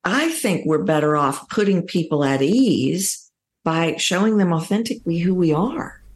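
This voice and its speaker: A middle-aged woman with a low voice and a Texan accent. She speaks as a coach being interviewed, explaining things emphatically in a conversational way. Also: lively intonation, measured pace, darkish tone. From Texas, United States. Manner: emphatic, illustrates points with acted-out quotes. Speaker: voice coach, podcast guest.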